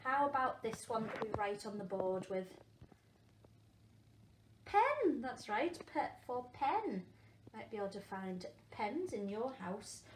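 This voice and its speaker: A woman, a primary school teacher, leading an interactive phonics lesson for young children, encouraging them and asking questions with pauses for answers. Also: on the high side, very animated. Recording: echoey room with faint background noise.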